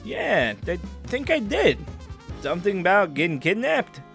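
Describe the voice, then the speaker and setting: Man acting a cheeky, animated higher-voiced prison inmate. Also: measured pace, vocal fry, soft background music, full character voice. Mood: curious, scheming. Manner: playful, colloquial, teasing. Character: cheeky, teasing male prison inmate, a friendly crook. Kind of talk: audio drama character acting.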